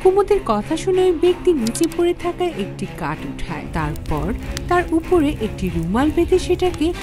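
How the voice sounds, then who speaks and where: A woman's voice: sits high, big pitch swings, normal speed. A woman narrating a scripted horror story in a dramatic style. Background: background music.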